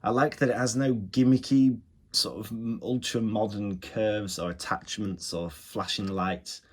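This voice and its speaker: A man's voice: lowish voice, steady tone, a little slow. A man hosting a casual hands-on product review, chatting enthusiastically about small details. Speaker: retro electronics review host.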